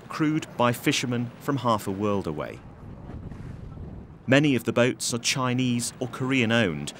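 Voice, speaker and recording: A man giving a scripted documentary narration in a measured, even voice. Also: on the low side, slight background noise. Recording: voice-over with music bed. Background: music.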